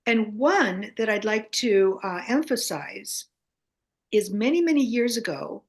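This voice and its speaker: An older American woman, a native Greek speaker, talking as a guest in a casual interview, halting with many fillers and restarts. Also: medium pitch, animated. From United States.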